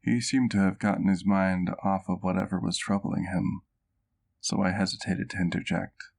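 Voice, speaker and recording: A man playing a doting, wistful vampire doctor in an ASMR roleplay, telling his story gently in formal, literary language. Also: deep voice, little pitch movement, normal speed, creaky. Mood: reflective.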